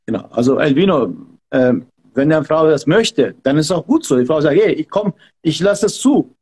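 A man's voice: fairly high pitch, animated, normal speed, a little mellow, husky. A man who talks in an emphatic, fervent way and repeats himself, giving advice as a martial arts instructor in a podcast conversation. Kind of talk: passionate podcast talk.

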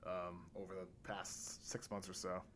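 Low-voiced, low-key male guest. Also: monotone, unhurried, a bit bright. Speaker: guest basketball reporter. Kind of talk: casual podcast chat.

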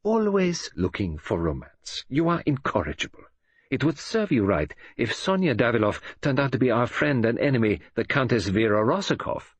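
A man's voice: mid-range voice, big pitch swings, normal speed, slightly rough, dry recording, pitched above the performer's own voice. A man voicing a fussy, dapper older detective, precise and teasing. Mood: fastidious.

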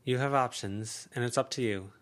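Man doing a mom, measured, matter-of-fact, firm, slightly raised pitch. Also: lightly acted. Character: practical tired mother, measured and firm.

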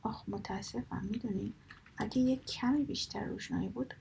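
A woman voicing a sly, clever male raven in a soft whisper. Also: on the high side, gravelly, slight background noise.

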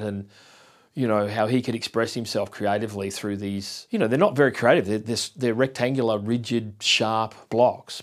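A middle-aged man hosting an interview, chatting in a friendly, rambling way with frequent halting restarts. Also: fairly low pitch. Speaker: interview host. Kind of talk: casual interview conversation.

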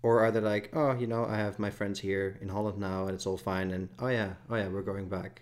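A man's voice: fairly low pitch, level tone, racing. A man hosting a casual podcast interview, asking long, rambling questions in a chatty way. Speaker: podcast host.

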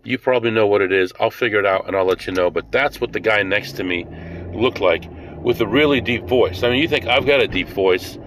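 A man rambling casually to his viewers as he drives between stops, thinking out loud. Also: lowish voice, brisk, low-quality recording. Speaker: host. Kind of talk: casual driving vlog. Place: inside a moving car. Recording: loud car road noise.

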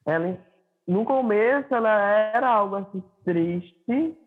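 A high-voiced man with a Pernambuco accent talks over a remote call, thoughtful and hesitant with many fillers. His tone is husky, and the sound is thin and tinny like a phone line. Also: animated, a little slow. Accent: Pernambuco Portuguese. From Recife, Brazil. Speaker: singer-songwriter guest. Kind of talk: casual interview chat.

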